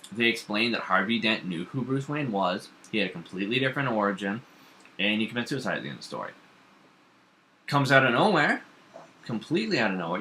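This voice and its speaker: Guy with a lowish bright voice, rambly and animated comics chat, faint hiss behind. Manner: rambling, animated, lots of fillers and restarts. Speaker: comics podcast co-host. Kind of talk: casual chat.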